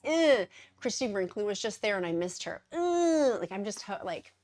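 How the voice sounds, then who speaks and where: A woman's voice: medium pitch, very animated, normal speed, darkish tone. A woman chatting casually to her viewers as a weight-loss vlogger, bubbly and gushing, with rambling side notes and self-deprecating jokes. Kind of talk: casual chatty vlog to camera.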